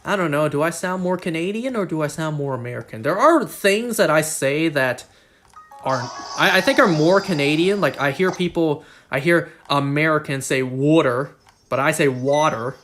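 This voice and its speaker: A man's voice: a little high, moderate pace, a bit bright, a little hiss or hum. A man chatting casually on a game live stream, rambling and joking at his own expense. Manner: rambling, self-deprecating, joking.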